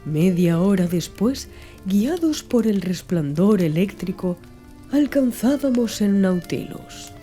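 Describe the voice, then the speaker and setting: Very mellow, soft-toned woman narrating. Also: mid-range voice, lively intonation, takes it slowly. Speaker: audiobook narrator and host. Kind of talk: scripted literary audiobook narration, first-person. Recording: music behind the voice. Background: music.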